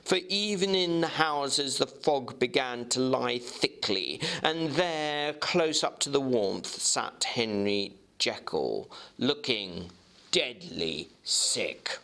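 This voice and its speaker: A man narrating classic fiction in a theatrical, expressive way, as a dramatic reading. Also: mid-range voice, slow pace, creaky.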